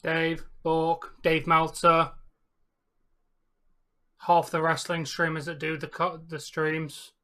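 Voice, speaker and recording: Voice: medium pitch, completely flat delivery, takes it slowly, pauses often, bright, forceful tone. A co-host on a live reaction stream, chatting casually and correcting others in a matter-of-fact way.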